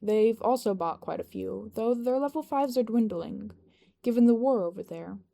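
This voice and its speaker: Woman as male assistant, practical, patient, matter-of-fact, mellow, story reading. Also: on the high side, animated, moderate pace, creaky. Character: patient, practical male aide to a young boss.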